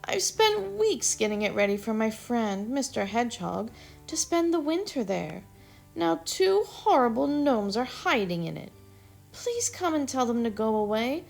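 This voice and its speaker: A woman's voice: fairly high pitch, very animated, unhurried, creaky, faint music behind, pitched above the performer's own voice, exaggerated voice. A woman voicing a small fretful lizard who pleads in a tearful, whiny way. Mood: pleading.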